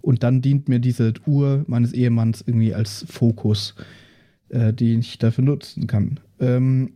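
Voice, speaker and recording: A man casually introducing his tabletop role-playing character in a halting, informal way. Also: mid-range voice, flat delivery, warm tone. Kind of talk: casual character introduction on an actual-play podcast.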